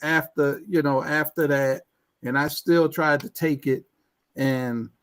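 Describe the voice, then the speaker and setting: A man's voice: on the high side, fairly even intonation, takes it slowly, fairly bright tone, smooth. A man talking as a guest in a casual online chat, stammering with many restarts. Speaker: guest IT professional.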